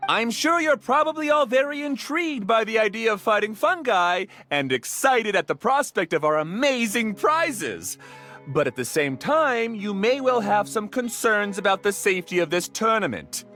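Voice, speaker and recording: A confident academic showman in a video game. He pitches his voice high and speaks in formal, grand announcements meant to reassure. Music plays behind him. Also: sing-song, moderate pace, bright, forceful tone, heavily acted. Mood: confident, welcoming. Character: confident male scholar and tournament organizer, formal showman.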